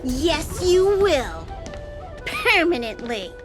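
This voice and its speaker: A woman's voice: high voice, big pitch swings, extremely slow, full character voice. A woman voicing a small mascot creature who plays a commanding, menacing gang boss in a video game. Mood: cold menace. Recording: game music behind the voice.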